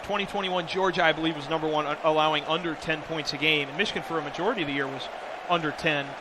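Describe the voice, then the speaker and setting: An American man provides color commentary for a football radio broadcast. His voice is somewhat high, and he explains stats and plays in a conversational, analytical way. Clear stadium noise runs behind him. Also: fairly even intonation, very fast. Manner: stat-minded, conversational explaining. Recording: noisy stadium broadcast background.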